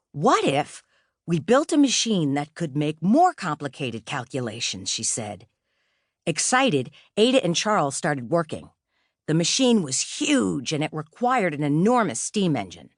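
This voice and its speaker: Low-voiced woman narrating with lively, varied pitch. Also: no echo, clean studio sound. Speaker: audiobook narrator. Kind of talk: scripted storybook narration.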